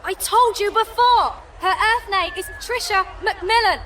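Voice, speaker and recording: An actress playing a defiant, troubled teenage girl in a radio drama, shrill and demanding. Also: a little slow, fairly bright tone, a little hiss or hum. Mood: furious, demanding.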